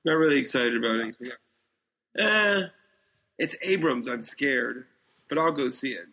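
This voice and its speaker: A man co-hosting a podcast, chatting informally and with firm opinions about films and TV in a gravelly, husky voice. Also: mid-range voice, lively intonation, moderate pace, sharp tone. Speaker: podcast co-host. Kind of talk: casual chat about films and TV.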